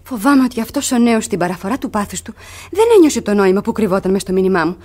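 An actress playing a cunning young ward feigning virtuous innocence, dramatic in a theatrical radio comedy. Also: female voice, medium pitch, animated, a little mellow, soft background music, natural voice. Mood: scheming. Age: young adult.

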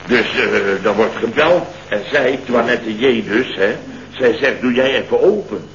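A man's voice: medium pitch, unhurried, fairly bright tone, natural voice. An actor playing a blustering middle-aged father in a late-sixties Dutch radio comedy, telling stories with theatrical indignation and mimicked quotes. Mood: indignant. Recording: dull, roomy, noisy old radio recording.

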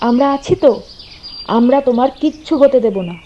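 A woman voices a fiery, protective homemaker in a doll story. The voice is high but mellow, delivered with theatrical emphasis. Light noise and birdsong run underneath. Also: brisk, muffled recording, natural voice. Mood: reassuring. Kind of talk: doll-story drama dialogue.

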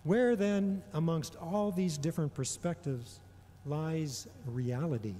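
A middle-aged man delivering a prepared homily in a measured, deliberate way, pausing often between phrases. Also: fairly high pitch, mellow. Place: large indoor church or hall. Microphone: gooseneck microphone on a lectern. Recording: long reverberation of a large hall.

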